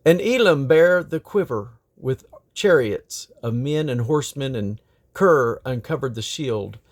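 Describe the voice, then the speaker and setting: A man's voice: medium pitch, animated, a little slow. A man reading scripture aloud in a solemn, declamatory manner. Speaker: Bible reader.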